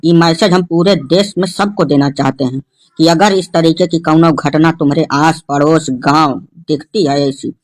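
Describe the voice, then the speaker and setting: A man's voice: on the high side, fairly even intonation, moderate pace, close to an everyday voice. A man playing an agitated, stubborn villager in a comedy cartoon, ranting emphatically with a rustic North Indian Hindi accent. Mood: heated. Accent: North Indian rural Hindi.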